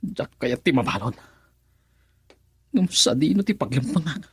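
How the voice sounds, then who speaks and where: A man's voice: medium pitch, sing-song, measured pace, a bit bright, breathy edge, no echo, exaggerated voice. An actor playing a menacing, controlling man in a radio drama, with a commanding, taunting manner. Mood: agitated, scheming.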